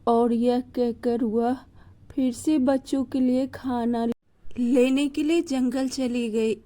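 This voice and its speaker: Woman reading a kids moral story, soft mellow tone, really slow. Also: on the high side, fairly even intonation. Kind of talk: narrating a children's moral story.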